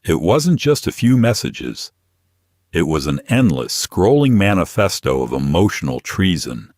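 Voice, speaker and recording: Male audiobook narrator, very low voice, expressive melody, dramatic scripted read, dry studio-like sound. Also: a little slow, dry recording.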